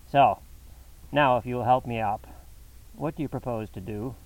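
A shaken young gentleman speaks wearily in a mid-pitched voice, as a male narrator reads him. The voice sounds a little away from the microphone. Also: moderate pace, recorded from a distance, lightly acted, rough recording.

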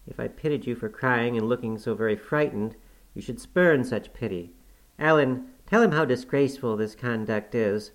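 A man voicing a proud, quick-tempered young woman who scolds sharply. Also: mid-range voice, animated, fairly bright tone, rough recording. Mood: angry.

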